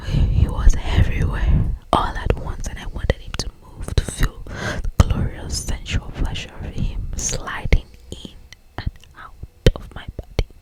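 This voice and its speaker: An ASMR host reading romance fiction aloud in a breathy, intimate whisper, with drawn-out phrasing. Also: poor audio.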